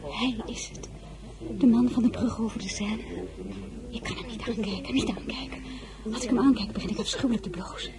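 A woman's voice: fairly high pitch, fairly quick, long pauses, crowd noise, close to an everyday voice. An actress playing a spirited, stubborn young Frenchwoman who narrates her own story in a Dutch period radio drama. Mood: breathless, flustered.

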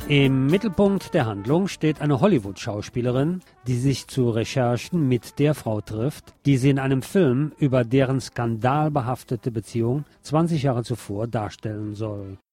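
A man's voice: mid-range voice, normal speed, few pauses, dark tone, no echo. A man narrating a film review as the host.

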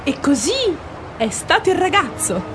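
A woman's voice: extremely high pitch, very animated, moderate pace, music in the background. A woman voicing a shocked vacationing lady in a short scripted radio drama, drawn out and dramatic. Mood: startled.

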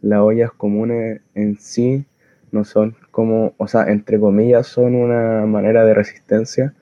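Guy, monotone, hesitant informal talk, dry tinny phone-like sound. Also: lowish voice, measured pace, no echo. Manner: hesitant, self-correcting. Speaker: community soup kitchen participant. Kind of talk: informal spoken testimony. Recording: dry, narrow phone-like audio.

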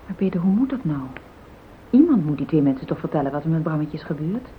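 An actress playing a worried, conscientious wife in a radio play, questioning and gently reproachful. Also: female voice, sing-song, fast talker. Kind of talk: Dutch radio play acting. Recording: muffled phone-like old radio recording, rumbling hiss.

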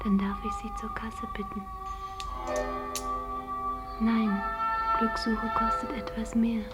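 An actress playing a ceremonious guide in a science fiction radio play, speaking in a formal, measured tour-guide manner. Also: female voice, fairly high pitch, very animated. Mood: solemn, formal. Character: ceremonious female guide of a sci-fi pleasure house. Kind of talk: scripted radio drama acting. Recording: music behind the voice.

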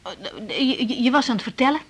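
Shy young dutch doctor, soft n breathy, kinda high, stumbles over words, tender, old radio drama. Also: female voice, quick pace, heavily acted. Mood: hesitant, uneasy. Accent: Netherlands Dutch. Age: young adult. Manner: hesitant, soft, repeating words. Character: tired young woman doctor, tender, slightly shy dinner guest.

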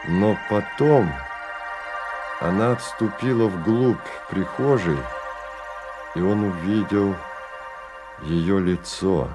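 A man narrating a radio drama in measured, deliberate phrases with pauses. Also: on the low side. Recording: radio play with music behind.

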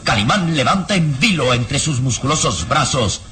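A man's voice: mid-range voice, animated, normal speed, over music. A man narrating a radio serial in an emphatic, suspenseful style.

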